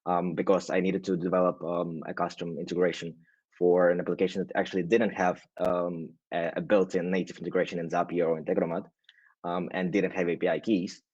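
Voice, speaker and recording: A man from Russia, a guest on a show, explaining things in a relaxed way and often checking in with "right?". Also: sits low, fairly quick, slightly rough, no room sound, lo-fi sound. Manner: hedging, frequent "right?" tags and restarts. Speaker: guest, automation agency founder. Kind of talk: casual explanatory interview talk.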